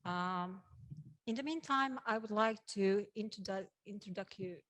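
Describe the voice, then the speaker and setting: A woman with a Croatian accent moderating a conference session and addressing the audience, speaking hesitantly with frequent pauses. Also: medium pitch, a bit bright, poor audio.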